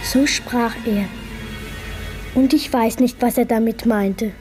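A woman's voice: a little high, fairly even intonation, slow pace, music in the background. A woman playing a trusting young girl in a radio play, telling her story with dreamy, childlike wonder. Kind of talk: German radio play acting, storytelling narration.

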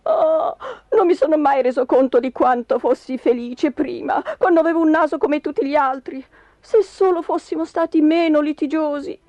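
A woman's voice: sits high, big pitch swings, a little slow, dark tone, husky, no echo, exaggerated voice. A woman voicing a grumpy, irritable husband in a storybook tale, grumbling, blustering and plaintive. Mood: wailing, indignant. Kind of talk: theatrical storybook character voice.

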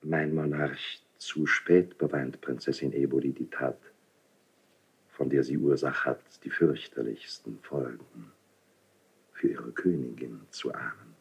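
Unctuous royal cleric, dark low register, deliberate pace, obsequious and insinuating, classical radio theatre. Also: male voice, fairly even intonation, vocal fry, slightly rough, heavily acted, rough recording. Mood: cautious, deferential. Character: insinuating, unctuous royal priest, feigning reluctance while accusing. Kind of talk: 1970s German radio play, classical verse acting.

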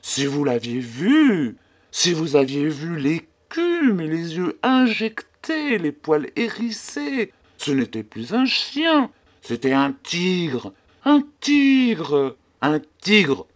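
Casting: pompous, easily panicked notary for an audiobook; highish voice, slow, melodramatic delivery. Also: male voice, wildly swooping pitch, heavily acted. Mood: indignant. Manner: theatrical, exclamatory, solemn and emphatic. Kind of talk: dramatised literary audiobook acting.

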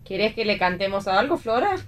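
A woman's voice: medium pitch, very animated, brisk, lightly acted. An actress playing a breezy, frank woman friend in read radio theatre.

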